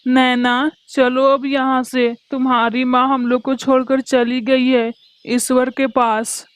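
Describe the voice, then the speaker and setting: Woman as a highish-voiced cartoon father bird. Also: level tone, slow, no echo. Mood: cold, dismissive. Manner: stern, dismissive. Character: harsh, cold father bird who favours his son. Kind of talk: Hindi cartoon voice acting.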